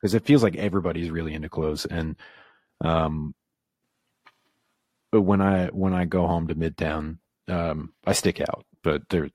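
A man's voice: low voice, fairly even intonation, moderate pace, darkish tone, no echo, studio quality. A man in his thirties from the American South, a podcast guest talking casually, rambling with many fillers and restarts. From Southern United States. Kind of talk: casual conversation.

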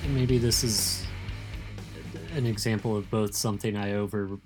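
A man talking casually as an interview guest, thoughtful and explanatory, often halting as he works through his point. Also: lowish voice, steady tone, music in the background. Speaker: magazine editor, interview guest.

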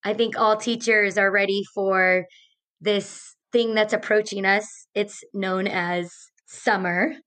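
A woman's voice: medium pitch, steady tone, takes it slowly, a bit bright. Chatty woman with a strained voice. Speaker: podcast co-host, teacher. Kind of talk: casual podcast chat.

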